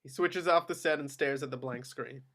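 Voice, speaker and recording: A man's voice: mid-range voice, normal speed, a bit bright. A man reading screenplay action descriptions aloud as narrator in scripted narration.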